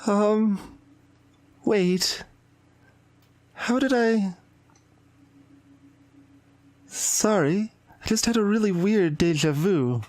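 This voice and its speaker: A woman playing a shy, anxious and intense high school girl in a visual novel, speaking in a hesitant, confiding way. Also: slow, pauses often, a little mellow, no echo, lightly acted. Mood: hesitant, apologetic. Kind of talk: dramatic visual novel voice acting. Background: background music.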